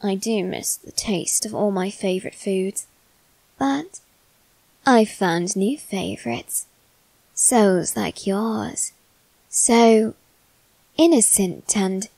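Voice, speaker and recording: A woman voicing a polite, coaxing young male demon in an ASMR roleplay aimed at the listener, speaking softly and courteously. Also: mid-range voice, gravelly, no room sound, poor audio. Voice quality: soft, measured and courteous.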